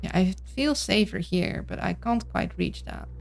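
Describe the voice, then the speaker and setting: A woman's voice: mid-range voice, animated, unhurried, gravelly. A woman with a Dutch accent giving casual gameplay commentary, rambling and thinking aloud. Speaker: game streamer. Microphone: streaming mic with voice filters. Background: game music.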